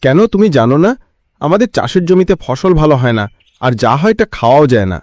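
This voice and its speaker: A man's voice: fairly high pitch, sing-song, fast, darkish tone, dry recording. A man voicing a worried, earnest village farmer and husband in a cartoon. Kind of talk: Bengali cartoon voice acting.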